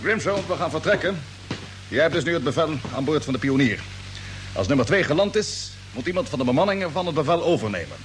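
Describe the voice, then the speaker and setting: An actor playing a confident space fleet captain in a radio drama, giving clipped, authoritative orders. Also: male voice, a little high, very animated, quick pace, soft background music. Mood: commanding, brisk. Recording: old radio play, humming background noise.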